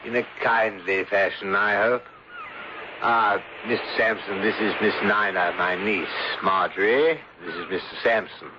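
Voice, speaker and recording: Low-voiced, suave English uncle played by an actor. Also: male voice, fairly even intonation, measured pace, close to an everyday voice. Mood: jovial politeness. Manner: florid, jovial, urbane. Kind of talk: old-time radio drama acting. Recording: noisy dull old radio broadcast.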